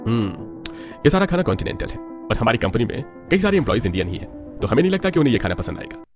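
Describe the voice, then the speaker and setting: A man playing a measured, discerning company owner in a story, speaking formally. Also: medium pitch, big pitch swings, over music, natural voice. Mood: reserved.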